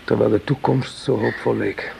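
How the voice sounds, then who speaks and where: An actor playing a loyal, protective soldier, a corporal, with an earnest and sombre manner in a radio drama. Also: male voice, fairly low pitch, steady tone, moderate pace, vocal fry, darkish tone. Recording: noisy old radio drama recording.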